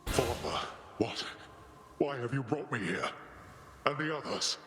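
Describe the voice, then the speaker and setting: An actor playing a stern, formal god-king father of the underworld in a video game, demanding and forceful. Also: mid-range voice, sing-song, unhurried. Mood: confused, demanding. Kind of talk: dramatic video game voice acting. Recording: echoing long reverb, faint noise.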